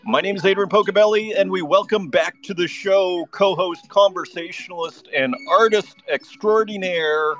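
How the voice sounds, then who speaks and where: A man's voice: sits high, normal speed, few pauses, fairly bright tone, faint music behind. A man hosting a live online audio interview, chatty and enthusiastic, often restarting his sentences. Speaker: podcast host.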